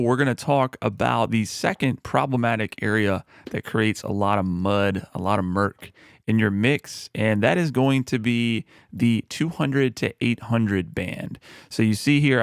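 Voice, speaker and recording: A man with a low voice, talking through a music mixing tutorial in a relaxed, explanatory way with frequent fillers. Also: moderate pace, gravelly, smooth, no room sound, clean studio sound. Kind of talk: screen-recorded mixing tutorial.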